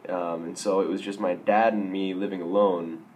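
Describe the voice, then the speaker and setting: Hoarse young man rambling wryly. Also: sits low, little pitch movement, moderate pace, darkish tone, slight background noise. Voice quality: raspy. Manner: self-mocking, wry, rambling with restarts. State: hoarse from a sore throat. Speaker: vlogger. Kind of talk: casual vlog storytelling.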